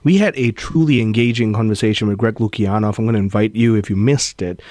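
A man's voice: lowish voice, fast talker, a little mellow, no echo. A man from Malaysia filling in as host of a radio talk show, speaking earnestly and often repeating words as he starts a thought.

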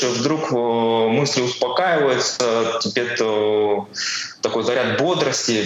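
A man in his forties answering interview questions casually, telling his own story in halting, broken phrases as he thinks aloud. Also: medium pitch, a bit bright. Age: 40s. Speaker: podcast guest, amateur barefoot ultrarunner. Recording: very echoey room, faint background noise.

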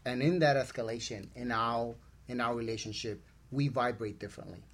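A man with a medium-pitched voice co-hosts a conversational show. He talks reflectively and breaks his thoughts into short, halting phrases. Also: fairly even intonation. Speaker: talk show co-host.

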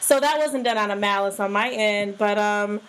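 A woman co-hosting a podcast in casual, gushing chat, her voice slightly hoarse and full of fillers and restarts. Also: mid-range voice, moderate pace, a bit bright. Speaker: podcast co-host.

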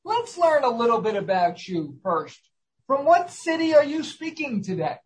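A man's voice: extremely high pitch, big pitch swings, moderate pace. A man hosting a talk show, interviewing a guest in an earnest, formal, scripted-sounding manner. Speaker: host.

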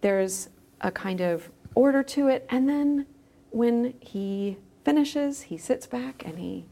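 A woman speaking as a podcast guest, explaining her creative work in casual conversation with halting phrasing. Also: a little high, expressive, gravelly, dark tone. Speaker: podcast guest, musician and educator.

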